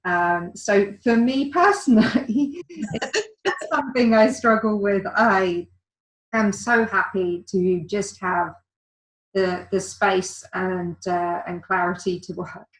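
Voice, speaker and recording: Woman guest, mid-pitched, measured answers, roomy echoey sound. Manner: measured, orderly, with fillers. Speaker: guest, virtual assistant. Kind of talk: conversational interview answers. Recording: echoey room.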